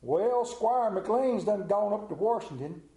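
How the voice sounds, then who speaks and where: A man's voice: high voice, expressive, unhurried, mellow, pitched above the performer's own voice. A man putting on a chatty backwoods villager. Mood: gossipy. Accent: Appalachian. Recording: roomy echo.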